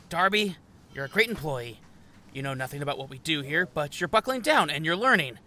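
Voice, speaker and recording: A snippy, haughty male office boss in a comedy podcast drama played by an actor. His voice is bright and sharp, swinging widely in pitch with indignation, with faint noise behind it. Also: sing-song, normal speed, exaggerated voice. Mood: exasperated. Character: petty, resentful, snippy male office manager. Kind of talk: comedy audio drama acting.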